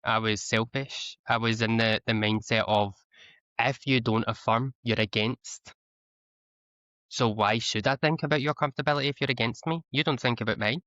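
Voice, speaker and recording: A Scottish man in a candid interview, talking earnestly and often restarting and repeating himself. His voice sits at an ordinary middle pitch, recorded dry with a slightly muffled, lo-fi sound. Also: normal speed, bright, forceful tone, no echo. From Scotland. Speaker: guest. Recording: slightly dull, lo-fi audio.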